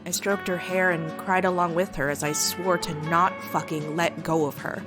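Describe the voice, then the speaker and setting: Give a slightly low-voiced teenage girl played by a woman, wry and fiercely loyal. She confides in her journal with sarcastic, emotive candor, as in a comic dub drama. Guitar music plays clearly behind her. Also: little pitch movement, moderate pace, natural voice. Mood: tearful resolve. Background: soft guitar music.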